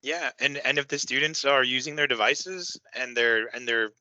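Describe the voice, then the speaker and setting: A man's voice: medium pitch, moderate pace, sharp tone, no echo, low-quality recording. A man hosting an online teacher training session on a video call, upbeat and encouraging as he introduces presenters.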